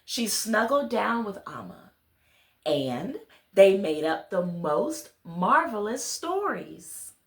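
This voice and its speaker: A woman reading a children's picture book aloud, expressive, speaking directly to her young listeners. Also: mid-range voice, takes it slowly, roomy sound. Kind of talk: children's read-along with friendly asides to listeners.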